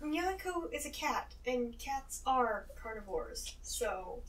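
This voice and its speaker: A woman's voice: on the high side, very animated, takes it slowly, a crowd in the background. A woman chatting casually as a player in a tabletop game. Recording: slightly distant from the mic.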